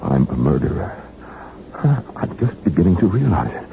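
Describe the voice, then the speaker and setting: An actor playing a guilty, nervous, weak-willed conspirator in 1970s radio drama, anxious and halting. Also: male voice, sits low, big pitch swings, gravelly, full character voice. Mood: horrified, guilty. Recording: noisy, dull 1970s radio broadcast.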